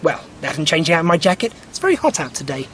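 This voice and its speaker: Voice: medium pitch, very animated, quick pace, crowd noise, close to an everyday voice. An actor playing a breezy, eccentric time traveler in an audio drama, explaining things in a wry, glib way. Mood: brisk, confident.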